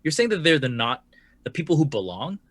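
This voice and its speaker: A man's voice: fairly high pitch, big pitch swings, brisk, fairly bright tone, no room sound. A man co-hosting a podcast, making emphatic critical points, sometimes cursing for emphasis. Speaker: podcast co-host. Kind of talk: casual panel discussion.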